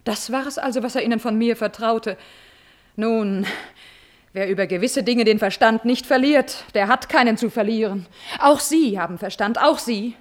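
An actress playing a bitter, mocking, vengeful countess in a classical radio tragedy, theatrical and emphatic, with biting irony. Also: female voice, a little high, normal speed, natural voice. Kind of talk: classical German stage tragedy as radio drama.

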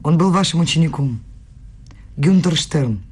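A performer in a radio play voicing an earnest young woman scholar in love, with a husky voice and a direct, probing manner. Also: fairly high pitch, animated, measured pace, a little mellow.

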